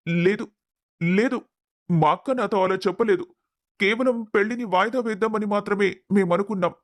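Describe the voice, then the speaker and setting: A man's voice: high-pitched, fairly quick, dry recording, natural voice. A man playing an emotive, earnest middle-aged mill owner and devoted father in a dramatized moral story. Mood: shocked, disbelieving.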